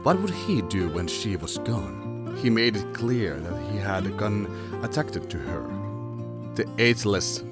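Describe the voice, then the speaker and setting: A man giving scripted, dramatic narration as the storyteller of an audio drama. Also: on the low side.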